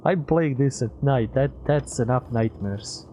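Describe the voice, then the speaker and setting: A man's voice: medium pitch, unhurried, dark tone, slight background noise. A man doing casual live game commentary as a streamer, chatting with viewers and rambling as he thinks aloud.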